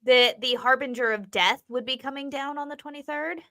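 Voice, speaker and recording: A woman's voice: high voice, fairly quick, sharp tone. A woman on a livestream panel, chatting casually with a wry, playful manner. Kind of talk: casual chat.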